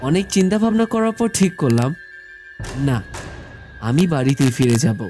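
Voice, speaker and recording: A man narrating a scripted first-person horror story in a measured storytelling manner. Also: fairly high pitch, big pitch swings, dark tone. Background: background music.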